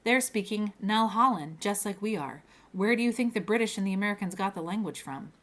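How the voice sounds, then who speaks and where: A woman's voice: mid-range voice, animated, brisk, close to an everyday voice. A woman reads the part of a young storybook heroine who is bossy and scornful. She talks curtly and dismissively. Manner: curt, dismissive. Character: curt, bossy, scornful young female companion.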